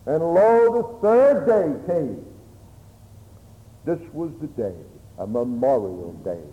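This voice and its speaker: A man preaching a solemn, oratorical Bible sermon, telling the story emphatically in short, halting phrases. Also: on the high side, warm tone. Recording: echoey hall with long reverb.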